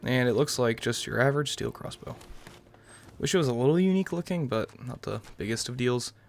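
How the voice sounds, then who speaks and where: A man's voice: medium pitch, fairly quick, creaky. A young American man giving casual, rambling let's-play commentary as the host of a gaming channel. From New York, United States. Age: young adult. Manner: rambling, self-qualifying.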